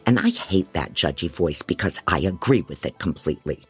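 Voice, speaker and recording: A man's voice: low-pitched, lively intonation, brisk, husky, soft background music, heavily acted. A man playing a frantic, disorganized private investigator who narrates an audio drama in a rambling, wry, self-doubting way. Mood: frazzled. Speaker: narrator and lead actor. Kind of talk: first-person dramatic audio fiction narration.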